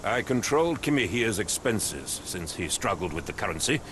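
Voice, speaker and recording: An actor playing a pompous, impatient middle-aged captain in a video game, with an English accent and clipped, condescending speech. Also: male voice, on the low side, sing-song, normal speed, noisy background. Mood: dismissive. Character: pompous, impatient male English captain, haughty wealthy master.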